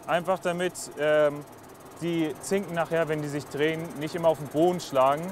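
A man's voice: a little high, fairly even intonation, normal speed. A man explaining how he sets up farm machinery, in a careful, explanatory way, outdoors in a field. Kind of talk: documentary explanation. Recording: outdoor noise behind the voice.

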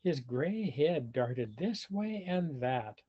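Husky man reading slowly, very animated. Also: mid-range voice. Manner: deliberate, explains words and pictures simply. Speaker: storybook reader for children. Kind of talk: expressive read-aloud with asides to young listeners. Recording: dull lo-fi video call audio.

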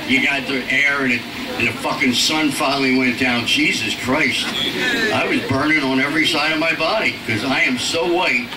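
Older American comic, distant and very noisy. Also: male voice, mid-range voice, normal speed. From Philadelphia, United States. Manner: crude, self-deprecating, conversational delivery. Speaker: stand-up comedian. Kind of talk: live stand-up comedy storytelling. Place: outdoor stage at a night pool party. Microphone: handheld mic through PA, filmed from audience. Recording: echoey distant stage PA, loud ambient noise.

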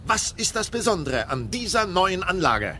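Field reporter: high, bright, very animated, excitable, some background ambience. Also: male voice, moderate pace, a little hiss or hum, heavily acted. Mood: enthusiastic. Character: excitable, impressed male radio reporter. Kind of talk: on-location radio report, acted.

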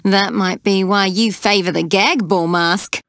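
An actress playing an irreverent, eager young punk criminal in a video game, casual and cheeky. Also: female voice, medium pitch, steady tone, unhurried, fairly bright tone, no echo, clean studio sound. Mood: teasing.

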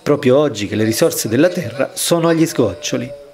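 A man's voice: mid-range voice, lively intonation, brisk, darkish tone. A man reading a scripted voice-over report as narrator. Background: music.

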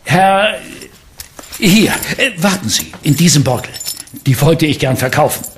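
An actor playing a good-natured, jovial middle-aged uncle who sells junk in a radio play. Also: male voice, fairly high pitch, big pitch swings, a little slow, slight background noise.

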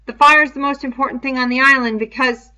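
Storybook schoolboy leader played by a woman: earnest, halting, insistent, high and forceful. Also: steady tone. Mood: urgent, insistent. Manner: emphatic, halting, repeating himself. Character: earnest young boy leader struggling to persuade. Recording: dull, muffled lo-fi home recording.

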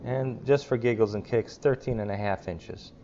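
A man's voice: on the low side, normal speed, dark tone, muffled recording, slight background noise. Enthusiastic middle-aged man demoing tools. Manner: enthusiastic, chatty, with fillers. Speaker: woodworking channel host. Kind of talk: casual tool demonstration. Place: home kitchen, indoors. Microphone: lapel mic.

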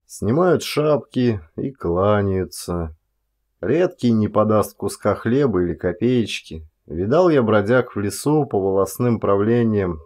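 A man's voice: medium pitch, a little mellow. A man reading aloud in the scripted manner of an audiobook narrator. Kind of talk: dramatic scripted literary narration.

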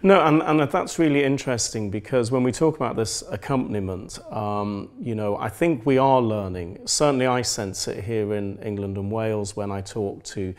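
A man in his forties, a priest hosting an indoor interview, who reflects gently and pauses often on ums. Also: on the low side. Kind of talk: conversational interview. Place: indoor room with plain walls.

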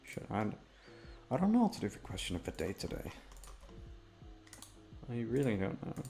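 Male host, low and fry-heavy, loose sweary self-deprecating ramble. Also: very animated, moderate pace, a little mellow, faint music behind. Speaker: voice actor and channel host. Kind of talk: casual rambling outro chat.

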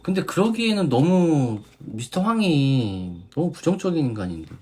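A young woman co-hosting a casual dating-show review, relaxed and musing, often with a light laugh. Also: low-pitched, normal speed, husky.

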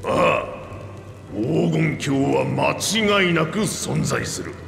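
A man playing an aging two-headed reptilian king in a video game, commanding, frank and gruff in his manner. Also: mid-range voice, animated, slow pace, fairly bright tone, exaggerated voice. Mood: assured. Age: older. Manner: gruff, regal, plain-spoken old-ruler speech. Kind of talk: Japanese video game voice acting. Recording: echoey hall reverb, background music.

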